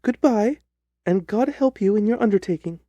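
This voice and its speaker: A man voicing an anxious, pleading young gentlewoman in an audiobook story. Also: high-pitched, normal speed, no room sound, lo-fi sound, pitched above the performer's own voice. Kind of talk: audiobook character reading.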